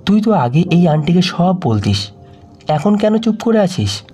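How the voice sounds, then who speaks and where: A man's voice: high voice, big pitch swings, a little slow, warm tone, slightly rough, faint music behind, voice pushed up, exaggerated voice. A man voicing a kind, motherly middle-aged aunt who speaks in a tender, coaxing way in an audio story.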